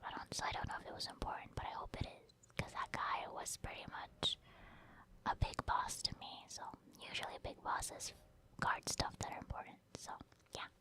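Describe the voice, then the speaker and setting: A woman giving casual, rambling ASMR commentary on her video game play in a breathy whisper, explaining her thought process. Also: moderate pace. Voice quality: breathy, mostly unvoiced whisper. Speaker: gaming ASMR creator.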